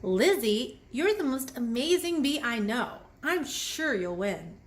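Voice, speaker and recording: A woman's voice: on the high side, big pitch swings, slow pace. A woman voicing a supportive, easygoing young girl bee in a storybook, bubbly and exclamatory. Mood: encouraging.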